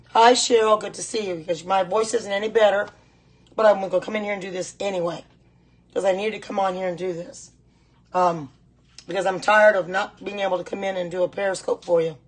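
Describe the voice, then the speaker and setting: A middle-aged woman with a hoarse voice, chatting casually and repeating herself as she hosts a live cooking demonstration. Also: medium pitch. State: hoarse voice, clearing throat at times. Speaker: host of a live home cooking stream. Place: home kitchen.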